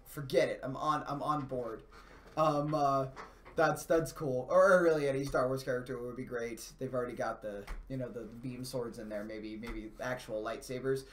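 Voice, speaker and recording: A man's voice: mid-range voice, steady tone, normal speed, fairly bright tone. A man, co-hosting a gaming live stream in casual, rambling, enthusiastic and slangy chat as he answers viewers. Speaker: co-host of a video game live stream. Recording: echoey room.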